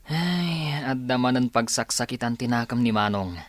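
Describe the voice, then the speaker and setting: Man acting a lively-pitched, earnest Filipino son. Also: normal speed, dry recording, lightly acted. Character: concerned younger son. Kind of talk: Ilocano radio drama acting.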